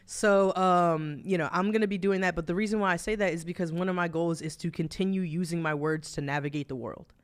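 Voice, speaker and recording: A man in his thirties with a New Jersey accent, co-hosting a podcast in relaxed, rambling chat full of fillers and laughs. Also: high-pitched, fairly quick, hardly any pauses, smooth. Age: 30s. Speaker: podcast co-host. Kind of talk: casual chat.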